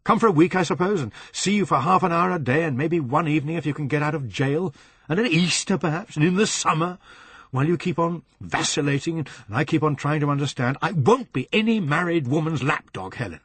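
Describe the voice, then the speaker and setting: A man's voice: mid-range voice, big pitch swings, normal speed, breathy edge, dry recording. A man voicing a bitter, rash jilted man who snaps in a raised voice in a storybook reading. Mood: furious. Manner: snapping, raised voice.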